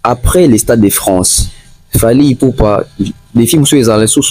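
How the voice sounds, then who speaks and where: A man's voice: medium pitch, normal speed, darkish tone. Man interviewer echoing answers, dry sound. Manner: short prompts and echoes of the answers. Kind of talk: street interview.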